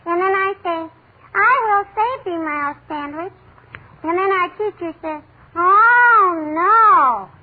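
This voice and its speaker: A cheeky little schoolgirl, chatty and wheedling, in a 1940s radio comedy. The voice sits very high and bright. It comes through a thin, phone-like old broadcast. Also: expressive, slow pace, heavily acted.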